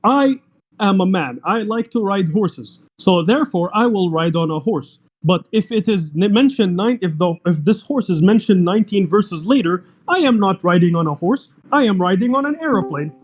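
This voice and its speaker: A man's voice: high-pitched, expressive, moderate pace. A young man whose first language is Arabic, arguing insistently in an online debate and pressing the same demand over and over. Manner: insistent, repeats demands, talks over others.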